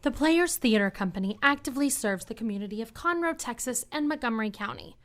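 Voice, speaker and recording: A woman's voice: on the high side, animated, fast. A woman reading a scripted promotional announcement as a community theater spokeswoman.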